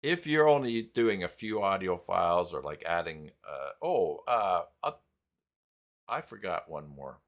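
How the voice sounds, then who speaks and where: Low-pitched man hosting a casual live stream. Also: big pitch swings. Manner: halting, thinking aloud while clicking around. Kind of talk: casual live tutorial talk, reading chat.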